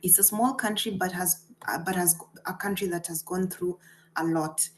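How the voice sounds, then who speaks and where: A woman's voice: lowish voice, fairly even intonation, normal speed, slight background noise. A woman from Rwanda and Kenya giving an earnest presentation as a peace fellow over a video call, with frequent fillers and restarts. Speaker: guest speaker, peace fellow.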